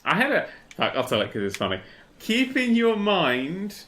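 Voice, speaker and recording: A man's voice: sits high, huge pitch swings, unhurried, bright, forceful tone. A man telling stories casually and comically as a livestream co-host, swearing and restarting his phrases. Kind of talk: casual comic storytelling and banter.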